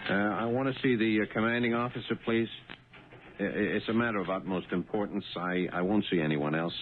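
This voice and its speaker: An actor playing an excitable, stubborn cab driver and husband in a 1970s radio drama, stammering and insistent. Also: male voice, lowish voice, brisk, lightly acted. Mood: nervous, insistent. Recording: noisy narrow-band old radio broadcast.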